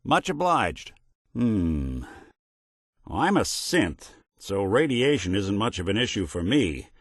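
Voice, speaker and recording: An actor playing a world-weary, principled middle-aged synthetic detective in a video game, American, with a wry, laconic, folksy manner. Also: male voice, on the low side, very animated, slow, no echo, studio quality, full character voice. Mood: matter-of-fact. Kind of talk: video game character dialogue, old-time detective manner.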